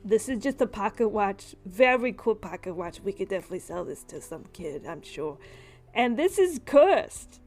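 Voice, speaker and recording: A woman's voice: medium pitch, sing-song, moderate pace, darkish tone. A woman plays a male fantasy shopkeeper in a tabletop game, shrewd and businesslike yet easy to deal with. The haggling is agreeable and conversational, with music playing behind. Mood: appraising.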